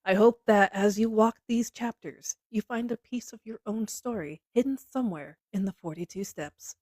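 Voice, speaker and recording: A woman's voice: mid-range voice, moderate pace, darkish tone, dry recording. Reflective woman narrator, very dry sound. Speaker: narrator reading a book aloud. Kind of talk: scripted literary narration.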